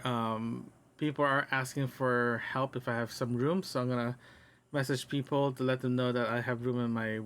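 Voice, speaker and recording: Male podcast host, medium pitch, unscripted diary feel, halting delivery that wanders as he thinks aloud. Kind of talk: casual audio diary.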